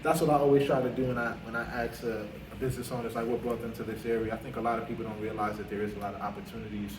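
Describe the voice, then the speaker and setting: A man's voice: medium pitch, level tone, rapid-fire, background noise. Male host, casual and affirming; echoey room, distant mic. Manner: friendly, affirming, with frequent fillers. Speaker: interview host, branch president. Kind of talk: casual interview conversation.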